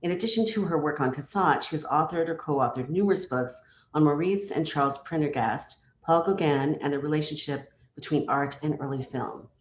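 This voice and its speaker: A woman's voice: low voice, a little fast, hardly any pauses. A woman hosting an online event, reading a prepared introduction formally with small stumbles. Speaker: event host introducing a speaker. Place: indoors, on a video call. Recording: echoey room, faint background noise.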